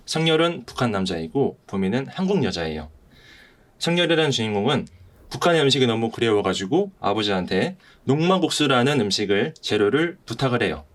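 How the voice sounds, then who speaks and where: Polite, halting male podcast host. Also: mid-range voice. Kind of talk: semi-prepared podcast talk about a play.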